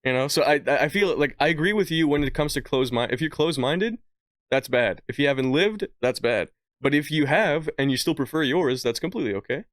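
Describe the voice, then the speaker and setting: A man's voice: a little high, animated, fairly quick, no echo, clean studio sound. A young man from Hungary living in Quebec, co-hosting a podcast in a casual, slangy, argumentative way. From born in Hungary, lives in Quebec. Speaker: podcast co-host. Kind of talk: casual, joking podcast debate.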